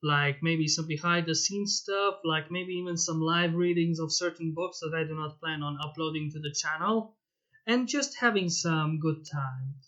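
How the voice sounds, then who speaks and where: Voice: mid-range voice, moderate pace, a bit bright, highs cut off. A fan fiction narrator reading a scripted story aloud, with a channel host's direct address. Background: music.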